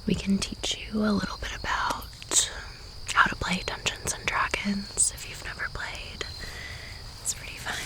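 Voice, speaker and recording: Woman doing a friendly teen girl, soft slow asmr whisper, bit of fry. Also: mid-range voice, flat delivery, lightly acted, low-quality recording. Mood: welcoming. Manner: soft whispering, chatty and welcoming. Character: friendly teenage girl, cheerleader type. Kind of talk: ASMR roleplay.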